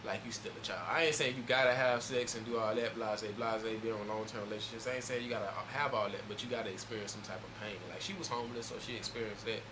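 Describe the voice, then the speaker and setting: A man's voice: fairly low pitch, fast, few pauses. A man hosting a reaction video, talking loosely and emphatically and speaking straight to his viewers. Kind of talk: casual reaction commentary. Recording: steady background noise.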